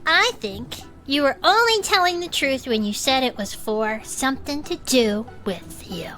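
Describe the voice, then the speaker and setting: A woman's voice: high-pitched, expressive, unhurried, bright, forceful tone, faint music behind, heavily acted. A woman playing a simple, loyal bruiser who protects buddies with blunt, childlike menace, in an improvised audio drama. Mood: stern threat.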